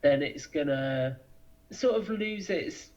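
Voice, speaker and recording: A man's voice: on the high side, animated, slow, a little mellow, lo-fi sound. A man answering as a podcast guest, talking in a thoughtful, explanatory, conversational way.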